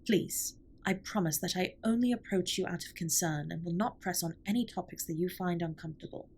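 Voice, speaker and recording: A woman playing an alien spaceship captain in a comfort audio roleplay, speaking softly in formal, precise sentences with gentle, earnest concern. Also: fairly low pitch, vocal fry, a little hiss or hum. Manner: formal, stiffly precise, measured wording.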